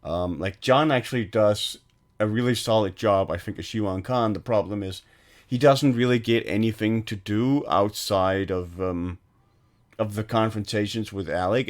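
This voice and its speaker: Low-voiced hesitant man on a film podcast. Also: moderate pace. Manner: hesitant, many fillers, trailing off quietly. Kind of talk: casual chat.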